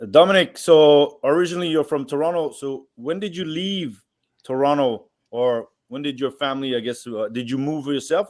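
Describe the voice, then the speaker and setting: A man's voice: mid-range voice, a little mellow. A man co-hosting an interview, asking long questions that ramble and stumble. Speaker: co-host.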